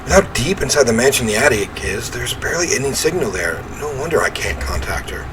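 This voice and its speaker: A man reading a first-person horror game story aloud in an expressive, theatrical way. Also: mid-range voice, fairly quick. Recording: clear ambient noise behind voice.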